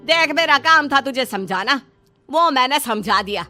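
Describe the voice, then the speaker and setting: A woman voicing a bossy but caring mother-in-law in an animated moral story, nagging and sarcastic. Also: high voice, normal speed, faint music behind. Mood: scolding.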